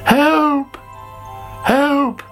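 A woman's voice: high-pitched, fairly even intonation, very slow, faint music behind, voice pushed up. A woman voicing a greedy, dramatic young boy caterpillar in a children's storybook, snappy and wailing. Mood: panicked.

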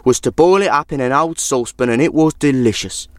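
A man's voice: mid-range voice, a little fast, dry recording, studio quality, lightly acted. A man voicing a hungry, clever wandering man in a storybook reading, talking in a sly, coaxing way.

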